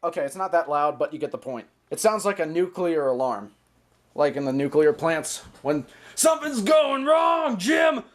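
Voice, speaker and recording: American male hobby vlogger, higher-pitched voice, chatty and playful, casual show-and-tell delivery. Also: moderate pace. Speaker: hobbyist video host.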